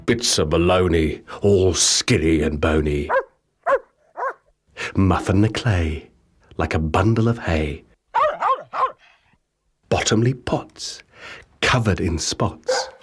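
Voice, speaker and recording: A man reading children's rhyming stories as an audiobook narrator, with measured, rhythmic, theatrical delivery. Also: low-pitched, soft background music. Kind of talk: scripted children's storybook narration in rhyming verse.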